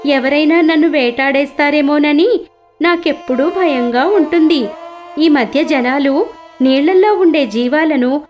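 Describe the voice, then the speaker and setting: A woman voicing an anxious, unhappy swan in an animated fable, fretful and plaintive. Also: high voice, measured pace, soft background music, voice pushed up. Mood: fearful.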